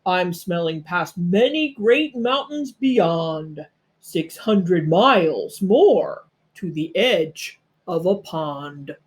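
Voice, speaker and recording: A woman voicing a big boastful male bear in a storybook, bragging slowly and emphatically with a bit of growl. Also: lowish voice, animated. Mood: proud, drawn-out.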